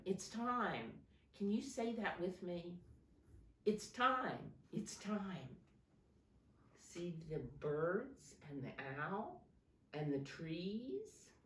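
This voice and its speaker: A woman with a low voice reads a story to small children as their teacher. Her pitch swings expressively and she takes her time, explaining simply and putting questions to the listeners. The sound is roomy and set back from the microphone. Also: fairly bright tone. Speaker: preschool teacher reading a picture book aloud. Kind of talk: storytime read-aloud for young children. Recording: roomy, echoey sound, distant from mic.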